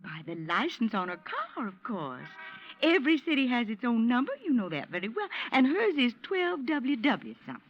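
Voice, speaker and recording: A woman's voice: on the high side, big pitch swings, moderate pace, faint music behind. An older woman playing a prim, disapproving, gossipy widow who rambles fussily in a 1950s radio drama. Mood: huffy, scornful. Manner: rambling, fussy, indignant chatter. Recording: muffled vintage radio recording.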